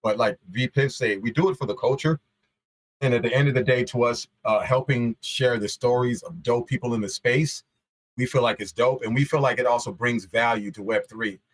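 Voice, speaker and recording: A man's voice: mid-range voice, moderate pace, low-quality recording. Laid-back, slangy man. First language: Shona. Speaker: podcast guest. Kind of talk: casual podcast chat.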